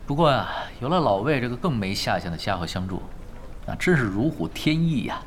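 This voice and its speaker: Casting: male actor as unflappable young gamer strategist, mid-pitched, animated swings, dry teasing, radio drama. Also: a little fast, a little hiss or hum, close to an everyday voice. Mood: wry. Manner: easygoing, teasing, mock-modest. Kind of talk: Chinese radio drama acting. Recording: studio voice over clear background music.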